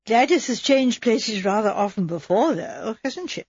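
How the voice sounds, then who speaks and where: A woman voicing an elderly lady who speaks in a measured, dry way. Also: a little high, expressive, a little mellow, husky, no room sound, muffled recording.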